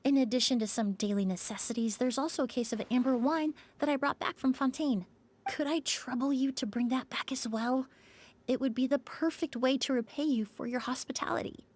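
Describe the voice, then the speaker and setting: This is a composed, polite perfumer from a video game. Her voice sits fairly high. She speaks in a calm and courteous way. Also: fairly quick.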